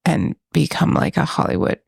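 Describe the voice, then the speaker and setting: A woman's voice: moderate pace, a little mellow, no room sound, studio quality. A woman in her thirties co-hosting a podcast, chatting casually, joking at her own expense and laughing often. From New England. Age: 30s. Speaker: podcast co-host. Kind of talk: casual chat.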